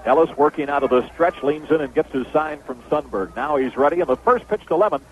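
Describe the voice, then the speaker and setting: Tinny vintage radio baseball announcer, high-pitched man. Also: animated, a little fast. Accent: American. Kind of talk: 1970s radio sports commentary. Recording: noisy phone-band vintage radio broadcast.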